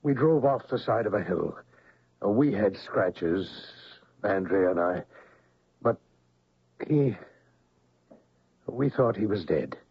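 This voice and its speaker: An actor playing an anxious middle-aged stepfather in a radio drama, husky and halting as he pleads. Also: lowish voice, expressive, heavily acted. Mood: halting, confessing. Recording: muffled old radio broadcast.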